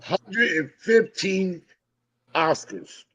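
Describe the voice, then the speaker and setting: A man's voice: high-pitched, extremely slow. A man in his early fifties with lots of energy, chatting casually about fish with friends. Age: early 50s. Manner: energetic, wired. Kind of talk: casual chat. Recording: dull lo-fi sound.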